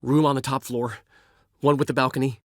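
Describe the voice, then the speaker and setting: A man's voice: mid-range voice, flat delivery, fairly quick, no echo, studio quality, natural voice. An actor voicing a vain, witty male bard in a video game, speaking in flamboyant, florid phrases. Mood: lively. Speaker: game character. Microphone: dry studio recording.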